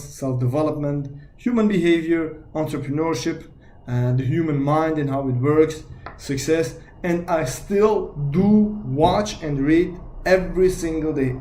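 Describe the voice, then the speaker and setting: A man's voice: a little high, mellow. A young man from Belgium with a Belgian Dutch accent, a native Dutch and French speaker talking in English, introducing his sales channel in an earnest, hesitant way with many fillers and restarts. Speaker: YouTube sales channel host.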